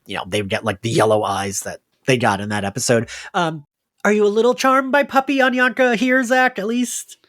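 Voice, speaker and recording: A man hosting a casual recap chat, telling the story in an animated, rambling way. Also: high voice, fairly quick. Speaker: podcast host.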